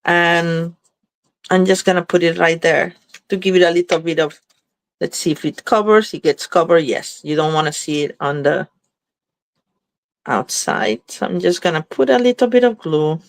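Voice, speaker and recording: A woman's voice: fairly low pitch, moderate pace, vocal fry, no room sound. Woman, stuffy-nosed, chatty craft demo, dry room. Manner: chatty, thinking aloud while working. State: congested. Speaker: craft demonstrator. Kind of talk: live crafting demo chat.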